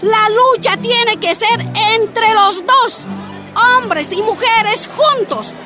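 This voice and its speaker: An actress playing a defiant Bolivian miners' wife and union activist, speaking in impassioned, emphatic oratory in a radio drama. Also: female voice, very high voice, very slow, full character voice. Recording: music and crowd behind voice.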